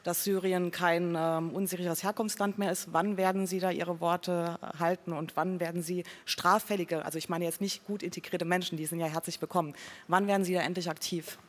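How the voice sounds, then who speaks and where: Flat-voiced woman pressing a question. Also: lowish voice, brisk. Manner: earnest and pressing, with hesitations. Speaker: audience member asking a question. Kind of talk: public question at a town hall.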